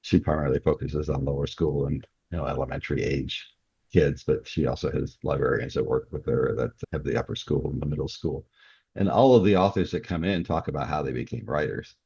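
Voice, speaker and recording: A man speaking as a guest author in a relaxed interview, explaining things through examples. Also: a bass voice, brisk, gravelly, husky, no room sound. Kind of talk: relaxed interview conversation about writing. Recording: dull, muffled lo-fi audio.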